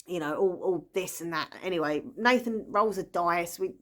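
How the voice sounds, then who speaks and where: A woman's voice: fairly low pitch, moderate pace. A woman, a book vlogger talking in a casual, rambling and enthusiastic way. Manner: rambling, enthusiastic, full of fillers and small laughs. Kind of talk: casual chatty book talk.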